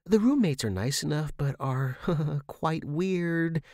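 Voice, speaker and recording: Man doing a chatty young woman, raised pitch. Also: expressive, slow, a little mellow, no room sound, clean studio sound. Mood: uneasy. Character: friendly, giggly young woman, a new housemate.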